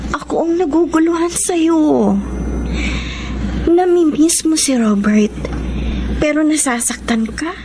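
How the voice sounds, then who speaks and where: An actress playing a frank best friend, blunt and reproachful, in radio drama style. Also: female voice, high-pitched, slow, pauses often, background noise. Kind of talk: Tagalog radio drama acting.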